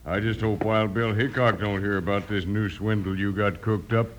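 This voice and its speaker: A man's voice: lowish voice, steady tone, moderate pace, highs cut off, close to an everyday voice. An actor playing a wary, folksy crook's henchman with ungrammatical drawling talk in an old time radio western. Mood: worried. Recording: old radio recording, faint noise.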